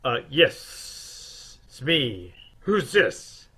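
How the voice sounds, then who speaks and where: A man playing a brisk, wisecracking private detective in an old-time radio mystery. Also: mid-range voice, very animated, pushed and bright, slightly rough, full character voice. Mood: guarded. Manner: clipped, wry interrogating.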